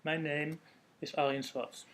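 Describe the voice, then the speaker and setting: A man from the Netherlands with a Dutch accent, giving a recorded technical presentation in a measured, explanatory way. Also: a little high, little pitch movement, a bit bright. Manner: measured, explanatory delivery with occasional self-corrections. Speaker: conference speaker.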